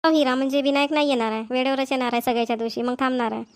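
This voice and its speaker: A very high-voiced cartoon village woman played by an actress chatters quickly in a Vidarbha Marathi accent. She sounds homely, practical and matter-of-fact. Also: fairly even intonation, clear, resonant tone. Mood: chatty, practical. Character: chatty, practical village women neighbours planning an engagement. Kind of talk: amateur cartoon dialogue.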